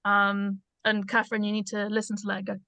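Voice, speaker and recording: Woman, bright forward voice, dry recording. Tentative, informal panel feedback. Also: mid-range voice, monotone, quick pace, smooth, dry recording. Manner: tentative, searching for words. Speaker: script reading panellist. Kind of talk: casual feedback chat.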